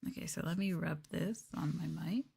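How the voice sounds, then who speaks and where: A woman talking in a soft, breathy voice that often drops to whispers, playful and teasing, chatting casually as an ASMR host close to the mic. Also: on the low side, brisk. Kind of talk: soft-spoken ASMR, casual chat with viewers. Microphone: sensitive mic up close.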